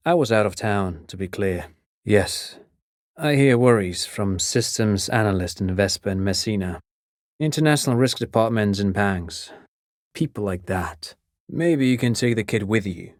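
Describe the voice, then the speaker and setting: A man's voice: lowish voice, animated, moderate pace, no echo, studio quality, natural voice. A man playing an eager, erudite consultant to the police in a video game, speaking in a polite, pedantic, lecturing manner. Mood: measured, polite. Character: eager, erudite male civilian consultant to police, digressive and excitable.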